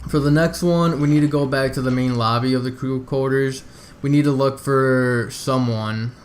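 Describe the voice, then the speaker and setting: A man's voice: mid-range voice, steady tone, moderate pace, slight background noise. A man giving a casual, step-by-step video game walkthrough, talking informally and directly to his viewers. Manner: informal, addresses viewers as "you guys".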